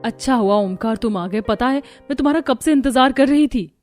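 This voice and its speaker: A woman voicing a young, put-upon, complaining daughter-in-law in a Hindi animated family story. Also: fairly high pitch, moderate pace, faint music behind, close to an everyday voice. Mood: relieved.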